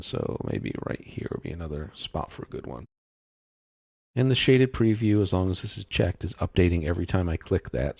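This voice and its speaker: A man with a low, gravelly voice narrates a screen tutorial. He explains each step informally, as an instructor would. The recording is dry and close, with very little room sound. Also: darkish tone, dry recording. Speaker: software instructor.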